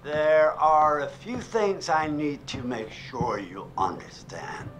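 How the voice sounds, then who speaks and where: An actor playing a grave middle-aged psychoanalyst in a horror game, speaking in a measured, deliberate, ominous way. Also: male voice, fairly high pitch, lively intonation, a bit bright, faint music behind. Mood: grave, insistent. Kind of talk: cinematic horror game monologue.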